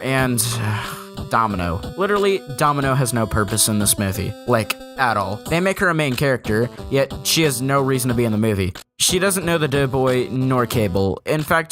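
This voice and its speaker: Male reviewer, medium pitch, sarcastic, ranting, opinionated comedic narration, swearing, backing music. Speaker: video reviewer and host. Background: background music.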